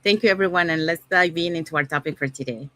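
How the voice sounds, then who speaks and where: A woman from Panama hosting an online panel talk, relaxed, often saying "so yeah". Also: low voice, fast talker, no room sound. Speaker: host.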